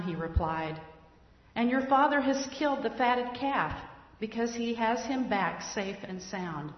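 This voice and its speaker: A woman reading a scripture passage aloud in church, slow and measured. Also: mid-range voice. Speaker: scripture reader. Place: church sanctuary. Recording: echoey hall, dull lo-fi sound.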